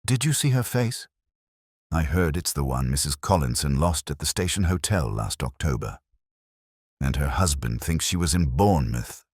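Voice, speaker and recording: Man voicing catty, deep-voiced village gossips. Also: lively intonation, normal speed, darkish tone, breathy edge, dry recording, studio quality, exaggerated voice. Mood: scandalised gossip. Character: gossiping, scandal-loving villagers at a parish fete.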